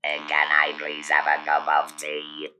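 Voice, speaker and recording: A man demonstrating speech through an electrolarynx, with a buzzing, mechanical, monotone voice. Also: extremely low pitch, unhurried, over music. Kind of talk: instructional demonstration. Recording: clearly noisy, with steady device buzz.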